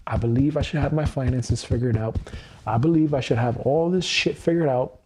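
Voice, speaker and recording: A man's voice: medium pitch, a little fast, a little mellow, slight background noise. A man in his thirties on a livestream, speaking earnest, repetitive self-affirmations with frequent swearing. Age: 30s. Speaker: EFT tapping livestreamer.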